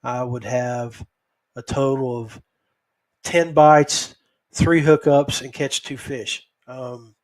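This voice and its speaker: An American man hosting a podcast solo, rambling casually in a halting, folksy way. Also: medium pitch, steady tone, darkish tone. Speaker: podcast host.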